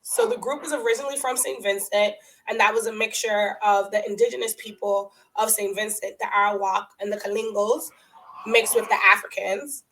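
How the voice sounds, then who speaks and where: A young adult woman dentist, raised in the United States with family from Guatemala, talking as a podcast guest and telling stories in an animated way, laughing often and acting out what others said. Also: medium pitch, a little fast, slight background noise, poor audio. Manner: animated storytelling, laughs often, acts out quoted voices. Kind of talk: casual conversational interview.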